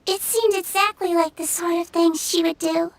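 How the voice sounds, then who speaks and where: A woman voicing a small, gentle, childlike magical nurse in a video game, soft and earnest. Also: very high voice, steady tone, moderate pace. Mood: reflective. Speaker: game character voice.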